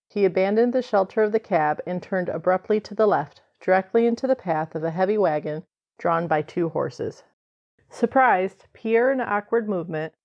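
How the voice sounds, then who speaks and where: A woman's voice: lowish voice, close to an everyday voice. A woman reading scripted dramatic narration as the narrator of an old time radio show. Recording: dull lo-fi sound.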